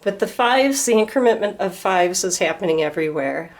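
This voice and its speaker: A woman at home gently explaining a handmade display to a camera, her voice slightly hoarse from a starting cold. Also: mid-range voice, lively intonation. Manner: gentle, unhurried, pausing to explain details. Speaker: student presenting a craft project. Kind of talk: slow show-and-tell explanation. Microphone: camera filming a display.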